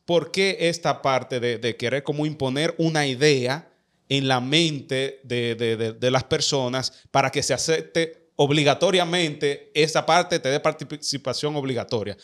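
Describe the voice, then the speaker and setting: A man with a Dominican Spanish accent hosting a conversational interview, speaking haltingly and carefully and often repeating phrases as he frames questions. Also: fairly high pitch, fairly bright tone. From Dominican Republic. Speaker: podcast host.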